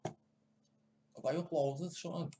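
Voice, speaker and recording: A man's voice: on the high side, steady tone, takes it slowly, mellow, slight background noise. A man muttering casual, crude commentary to himself while he sets up and watches video clips at home. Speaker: video host.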